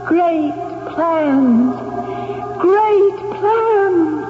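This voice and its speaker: An actress playing an anguished, neglected wife who pleads imploringly in old-time radio drama style. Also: female voice, very high-pitched, animated, extremely slow, full character voice. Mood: feverish, excited. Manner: imploring, breathy melodramatic delivery. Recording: muffled radio broadcast recording with music.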